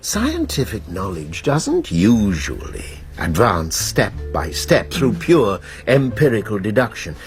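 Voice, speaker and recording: Slow, swooping, diplomatic college Master. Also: male voice, mid-range voice. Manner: measured, tactful, donnish. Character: diplomatic, persuasive male college Master, careful and donnish. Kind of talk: British radio drama acting.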